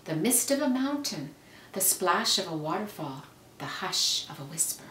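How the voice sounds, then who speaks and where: Woman reading as a gentle storybook mama, roomy sound. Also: fairly low pitch, very animated. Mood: tender, loving. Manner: tender, reassuring, unhurried praise. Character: gentle, loving mother of two young boys. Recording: roomy, noisy, distant microphone.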